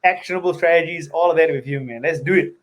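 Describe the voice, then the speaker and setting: Earnest man, fairly high voice. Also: quick pace. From Singapore. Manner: earnest, peppers talk with "right?" and "you know". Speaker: guest investor. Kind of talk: personal story in conversation.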